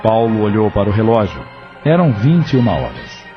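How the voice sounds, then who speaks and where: A man narrating an audio novel in a measured, grave manner. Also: lowish voice, sing-song. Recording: muffled lo-fi audio with music.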